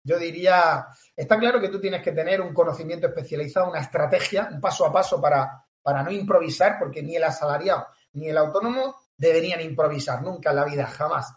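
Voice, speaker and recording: A man's voice: on the high side, fast talker, few pauses, roomy sound. A man from Catalonia with a Murcian-tinged Spanish accent, a sales coach talking as a guest in an interview, emphatic and fond of repeating phrases. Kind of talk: podcast interview.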